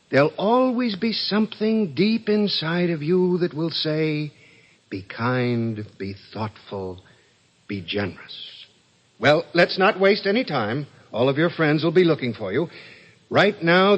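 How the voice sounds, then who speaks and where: Kindly heavenly chief, oratorical, solemn, highish animated voice, old muffled radio drama recording. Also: male voice. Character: kindly, dignified male boss of a heavenly department. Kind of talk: 1950s radio drama acting. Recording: dull, band-limited 1950s radio recording.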